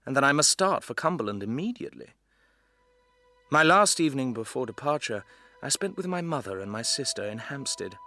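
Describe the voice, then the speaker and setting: Bright, well-spoken young English gentleman narrator. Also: mid-range voice, dry recording. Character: courteous, earnest young English drawing teacher of 1849. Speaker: narrator and lead actor. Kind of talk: literary audio drama narration and period dialogue.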